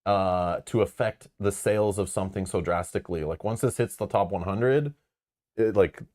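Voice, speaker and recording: Man with a very low voice, casual stream host, rambly, frank, lots of fillers. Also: moderate pace, darkish tone. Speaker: board game channel host. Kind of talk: casual live-stream chat.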